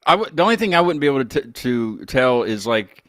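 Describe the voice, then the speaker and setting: An American man hosting an interview show, chatting casually in a rambling, halting way. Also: mid-range voice, big pitch swings, fairly bright tone, dry recording. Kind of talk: casual chat.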